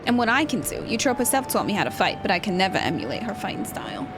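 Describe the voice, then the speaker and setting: A woman voicing an earnest, supportive young female arena fighter, reading video game dialogue aloud in measured, clear phrases. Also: mid-range voice, very animated, close to an everyday voice. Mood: reflective. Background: game music.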